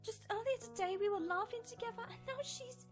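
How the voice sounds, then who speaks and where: A woman playing a gentle, grieving maid pony in a cartoon, dutiful and guilt-ridden, speaking in a sorrowful, halting way. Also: extremely high pitch. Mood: grieving, guilty. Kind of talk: animated cartoon acting. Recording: faint music behind the voice.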